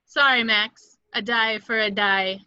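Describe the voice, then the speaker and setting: Lady doing a slinky vengeful cat burglar villainess, taunting, highish sharp voice, lo-fi mic. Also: steady tone, measured pace, smooth. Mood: menacing. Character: vengeful, seductive catlike female superhero-film antiheroine. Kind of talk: amateur table read of a superhero film script.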